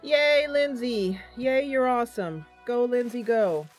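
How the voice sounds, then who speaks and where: A woman's voice: a little high, measured pace, faint music behind. A woman hosting a casual let's-play, thinking aloud through the game with frequent ums and talking directly to her viewers. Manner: playful, addresses viewers directly. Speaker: gaming channel host.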